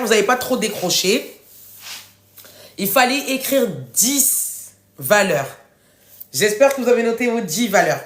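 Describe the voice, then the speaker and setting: A woman with a head cold hosting a casual live coaching workshop in French, speaking informally and affectionately to her listeners as she encourages them. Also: medium pitch. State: congested, runny nose from a cold. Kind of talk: casual interactive coaching livestream, reading out participants' answers. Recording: roomy, echoing room sound.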